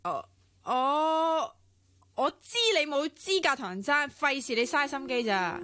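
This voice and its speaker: A woman's voice: sits high, expressive, measured pace, no room sound, heavily acted. An actress playing a jealous, teasing young woman in a Cantonese radio drama, sarcastic and pouting, with a Hong Kong accent. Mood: hurt, jealous. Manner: sarcastic, pouting, sharp-tongued.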